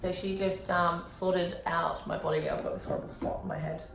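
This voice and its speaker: A woman's voice: lowish voice, flat delivery, moderate pace. Chatty woman in an echoey room. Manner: chatty, full of "like" fillers. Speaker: vlogger. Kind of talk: casual vlog chat. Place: indoors at a massage clinic.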